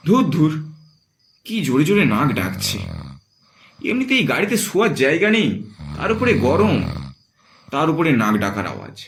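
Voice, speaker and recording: A man's voice: on the high side, wildly swooping pitch, normal speed, vocal fry, pauses often, a bit bright, full character voice. A man playing a serious, polite young investigator in a horror cartoon, speaking earnestly and expressively. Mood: irritated, urgent. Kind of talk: Bengali horror cartoon voice acting.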